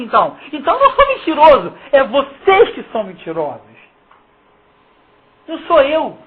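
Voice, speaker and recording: A preacher with a Carioca Brazilian accent and a husky voice expounds the Bible informally, emphatic and mocking, throwing slangy rhetorical questions at listeners. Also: medium pitch. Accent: Carioca Brazilian Portuguese. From Rio de Janeiro, Brazil. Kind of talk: informal Bible exposition, reading verses and commenting. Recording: muffled, phone-like lo-fi sound.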